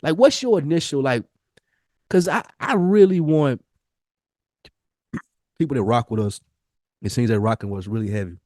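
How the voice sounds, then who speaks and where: A man's voice: medium pitch, animated, moderate pace, pauses often, a little mellow, no echo, studio quality. A man chatting casually and candidly as a podcast co-host, using plenty of slang. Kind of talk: casual conversational podcast chat.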